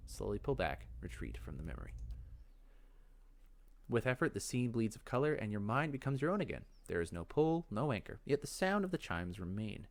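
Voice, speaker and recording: A man reading fantasy game text aloud as dramatic narration, in a measured, expressive storytelling manner. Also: on the low side, slight background noise. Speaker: let's play host.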